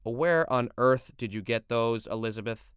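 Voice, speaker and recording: A man playing a gruff, stern pipe-smoking father in a read-aloud story. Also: mid-range voice, normal speed, no room sound, studio quality, lightly acted.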